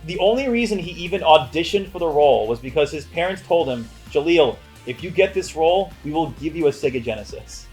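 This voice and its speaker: A man's voice: fairly high pitch, expressive, a little fast, few pauses, a little mellow, faint music behind. A man co-hosting a casual movie review, emphatic and opinionated as he banters. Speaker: movie review show co-host.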